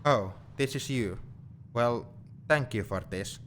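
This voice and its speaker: A man playing a young, sentimental and gently teasing best friend in an intimate audio roleplay, speaking in a conversational, reflective way. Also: medium pitch, slow pace. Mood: nostalgic, reflective. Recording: steady car noise behind the voice. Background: car ambience.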